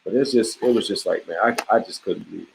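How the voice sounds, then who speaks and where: A man talking as an interview guest in a relaxed, emphatic way, full of slang, restarts and boasts. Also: sits low, fast talker, creaky, a little hiss or hum, rough recording. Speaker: podcast host, guest on the show. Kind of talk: casual interview chat.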